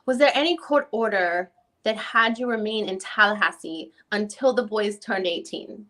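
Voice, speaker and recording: A woman reading the part of a lawyer who questions a witness in a formal deposition, with halting, probing questions. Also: medium pitch, fairly bright tone, lightly acted, poor audio. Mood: questioning. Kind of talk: dramatic reading of a deposition transcript.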